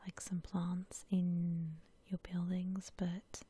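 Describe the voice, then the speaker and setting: A woman hosts an ASMR gaming session in a very breathy whisper. Her tone is soft and mellow, and she takes her time, gently thinking out loud as she plays. The delivery stays hushed and unhurried. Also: lowish voice, completely flat delivery. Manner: gentle, unhurried, musing aloud. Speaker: host of a gaming ASMR channel. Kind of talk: whispered ASMR game commentary.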